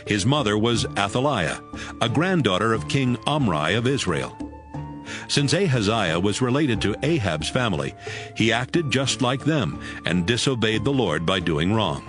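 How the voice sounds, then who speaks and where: A man's voice: on the low side, big pitch swings, moderate pace. A man reading scripted narration for a dramatized audio Bible. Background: music.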